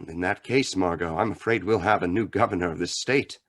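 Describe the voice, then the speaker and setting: A man acting a calm, stern mystery crime-fighter in an old-time radio drama, measured and formal. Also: low-pitched, slightly rough. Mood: grave, thoughtful.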